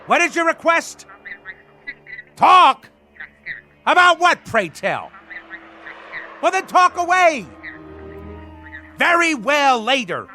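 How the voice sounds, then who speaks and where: An actor playing a pompous, navy-obsessed husband in a radio drama, with florid, old-fashioned diction. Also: male voice, very high voice, very animated, takes it slowly, pauses often, pushed and bright, soft background music, full character voice. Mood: blustering, impatient.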